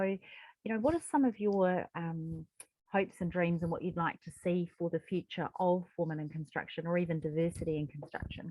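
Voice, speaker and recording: A woman's voice: lowish voice, darkish tone, no room sound, poor audio. A woman interviewer, talking casually in an effusive, encouraging way.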